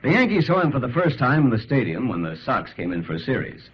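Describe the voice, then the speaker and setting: Animated American radio sports reporter. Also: male voice, mid-range voice, fast, husky. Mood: dramatic storytelling. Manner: earnest, punchy, emphatic. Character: enthusiastic male sports reporter, the ballplayer's pal. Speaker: narrator and sports reporter host. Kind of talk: old-time radio narration and announcing. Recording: muffled, hissy old radio recording.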